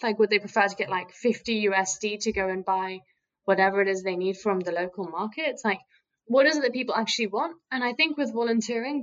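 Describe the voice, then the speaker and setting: A woman's voice: medium pitch, highs cut off. A woman who speaks Farsi natively, co-hosting a podcast in a chatty, self-deprecating way. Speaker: podcast co-host. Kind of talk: casual podcast conversation.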